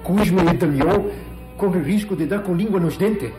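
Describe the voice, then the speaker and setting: A man's voice: high-pitched, normal speed, a little mellow, lightly acted. A Brazilian man playing an anxious, deferential henchman in a 1970s telenovela. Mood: worried. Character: worried henchman of a woman boss. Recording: echoey old TV audio, music behind.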